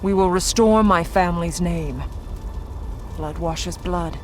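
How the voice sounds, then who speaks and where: A woman's voice: lowish voice, takes it slowly. An actress playing a grim, proud Norn warrior woman in a video game, speaking in a solemn, terse, formal way. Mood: resolute. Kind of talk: video game cutscene acting. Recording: music behind the voice.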